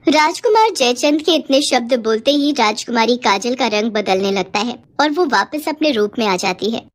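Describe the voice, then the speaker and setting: A female narrator tells a children's moral tale. Her voice is pitched very high. The delivery is scripted storytelling. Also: lively intonation, moderate pace.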